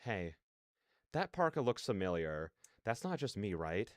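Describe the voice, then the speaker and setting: A man reading aloud as a wry first-person narrator of a story game, in measured, dramatic storytelling. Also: lowish voice, very animated, dry recording, clean studio sound. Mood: wry, intent. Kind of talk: scripted narration read aloud.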